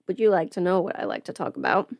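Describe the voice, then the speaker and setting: A woman's voice: on the low side, sing-song, fast, creaky, a little mellow, no echo. Woman playing a chatty girl streamer doing makeup, rambly n playful, drops into whispery asides, super dry room sound. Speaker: livestreamer doing a get-ready-with-me makeup stream. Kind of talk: casual livestream chat, scripted audio roleplay.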